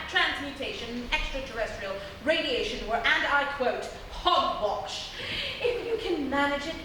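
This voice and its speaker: A woman's voice: on the high side, close to an everyday voice. A woman playing a pompous, wordy female professor in live radio comedy theatre, dramatic and erudite. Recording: echoey theatre stage, distant mic, faint noise.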